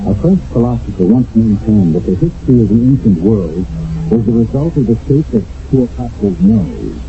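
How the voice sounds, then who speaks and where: An older American man narrating a radio mystery drama as host, in a solemn, deliberate, theatrical way. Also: fairly low pitch, big pitch swings. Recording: muffled phone-like old radio recording with music. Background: dramatic music.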